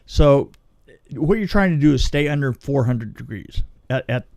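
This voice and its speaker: A man telling a story casually on a podcast, in a halting, rambling way that often restarts mid-sentence. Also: mid-range voice, expressive, vocal fry, darkish tone, dry recording. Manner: halting, rambling, self-interrupting delivery. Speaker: film special effects technician telling a story.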